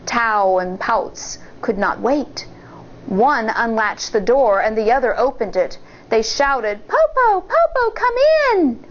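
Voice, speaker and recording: A woman teacher reading a picture book aloud to children in a deliberate, explanatory way. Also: on the high side, highs cut off. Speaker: elementary school teacher, story reader. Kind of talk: storybook read-aloud with asides to children.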